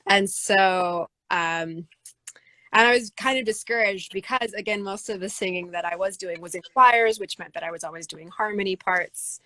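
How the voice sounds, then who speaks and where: A woman singer-songwriter with a low voice, answering interview questions thoughtfully, with many fillers and restarts. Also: normal speed, bright, forceful tone, dry recording, low-quality recording. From United States.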